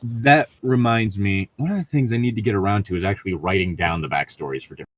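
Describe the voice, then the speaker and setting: Low-voiced man chatting and reading casually. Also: quick pace, dry recording. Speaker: co-host reading online stories. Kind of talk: casual chat and story reading.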